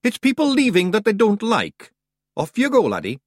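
A man's voice: sits high, wildly swooping pitch, moderate pace, dry recording, clean studio sound, voice pushed up, heavily acted. A man voicing a small, cocky fairy man with a broad, boisterous Scottish accent in an audiobook. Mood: brash cheery. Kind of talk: audiobook character voice.

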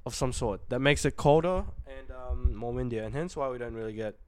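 A young man from the Philippines co-hosting a podcast in a casual, slangy chat that often trails off quietly. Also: medium pitch, fairly quick, clear, resonant tone. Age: young adult. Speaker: podcast co-host.

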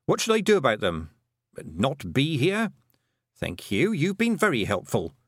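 A man's voice: mid-range voice, wildly swooping pitch, a little slow, slightly rough, no room sound, studio quality, voice pushed up, full character voice. A man voicing a sensible, determined young girl who speaks earnestly and plainly. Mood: urgent.

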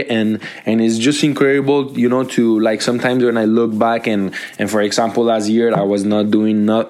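A man's voice: fairly low pitch, darkish tone. A young Colombian man hosting a casual solo podcast, talking in an upbeat, motivational way into a microphone, with many restarts and fillers. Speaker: podcast host.

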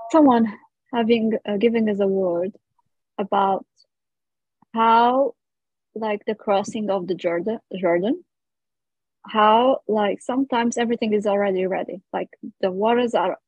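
Husky Portuguese-accented woman, roomy dull recording. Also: mid-range voice, measured pace, long pauses. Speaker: guest, missionary. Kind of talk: casual personal storytelling.

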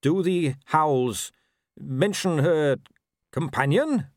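An earnest, good-natured young man, acted by a male narrator in a storybook reading. He speaks politely and straightforwardly, pitched up with lively movement. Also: slow pace, no room sound, clean studio sound. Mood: earnest, puzzled.

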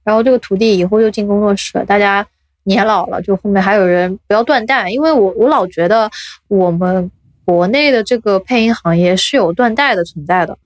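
A woman's voice: medium pitch, fairly quick, no echo. A woman hosting a podcast in casual, conversational chat. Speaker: podcast host.

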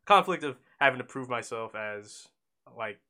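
A man's voice: medium pitch, lively intonation, moderate pace, sharp tone. A young man from Oakland co-hosting a podcast, talking casually in loose, rambling sentences full of fillers and restarts. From Oakland, California. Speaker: podcast co-host.

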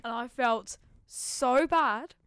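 A woman's voice: fairly high pitch, very slow, a bit bright, clean tone, dry recording, clean studio sound. A young adult British woman chatting casually as a podcast co-host, telling anecdotes with slangy, sweary, acted-out quotes.

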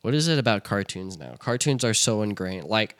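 A young man chatting on a podcast, his low voice bright and smooth. He rambles loosely, circling back and restarting his thoughts, and sounds close and dry on the mic. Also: expressive, brisk, no echo. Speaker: podcast co-host. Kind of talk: casual chat.

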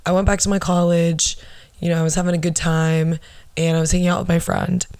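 A young woman with a low alto voice, telling rambling, profane, self-mocking stories as a podcast host. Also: little pitch movement, fairly quick, darkish tone, clear, resonant tone, dry recording. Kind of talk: casual storytelling monologue.

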